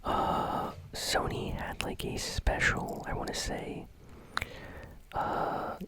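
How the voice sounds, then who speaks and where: A young man hosting gaming news in a soft, close-miked ASMR whisper, chatting casually. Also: takes it slowly. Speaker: host.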